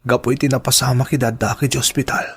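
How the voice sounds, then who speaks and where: A man's voice: medium pitch, flat delivery, fast, breathy edge. A man acting an anguished, worried son and devoted lover in an Ilocano radio drama. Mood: worried, dejected.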